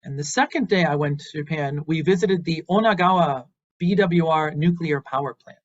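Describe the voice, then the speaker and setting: Earnest American man lecturing, muffled sound. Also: on the high side. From Boston, United States. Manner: earnest and encouraging, with some fillers. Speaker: university lecturer. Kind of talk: invited lecture, online.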